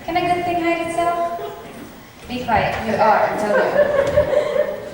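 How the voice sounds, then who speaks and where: Woman playing lively insistent flirt, echoey hall. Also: very high-pitched, takes it slowly, full character voice. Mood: teasing. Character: flirty, insistent teasing lady at masquerade. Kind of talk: amateur stage acting in modernized Shakespeare. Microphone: far camera. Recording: distant, noisy, echoey hall.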